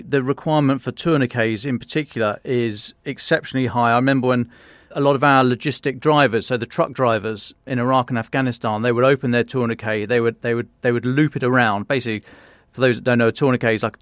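A man's voice: mid-range voice, dry recording, clean studio sound. A man hosting a podcast interview, talking conversationally and often repeating words as he goes. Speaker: podcast host.

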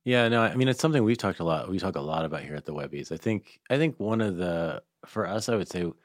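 A man with a deep voice interviews in a casual way, recorded very dry. He speaks haltingly, hedging and circling as he builds toward his point. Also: animated, dry recording. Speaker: interviewer, podcast host.

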